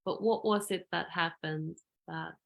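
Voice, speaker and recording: A woman hosting a live spiritual interview, thoughtful and slow-spoken, with soft affirming murmurs. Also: lowish voice, vocal fry, dull audio. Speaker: interview host.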